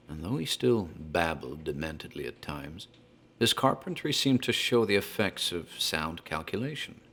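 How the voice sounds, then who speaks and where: A man narrating a gothic horror tale in a measured, formal, dramatic literary style. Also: sits low.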